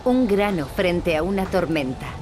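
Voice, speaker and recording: A woman playing a grave, measured storyteller narrating a desert fable for a video game. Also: fairly low pitch, animated, over music. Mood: solemn. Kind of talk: solemn scripted narration.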